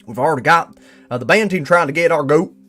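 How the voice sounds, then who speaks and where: Man putting on a high, drawling Southern cowboy. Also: fast talker, a bit bright, soft background music. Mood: folksy, watchful. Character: folksy Southern cowboy employee filing an investigation report. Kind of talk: character reading of a written report.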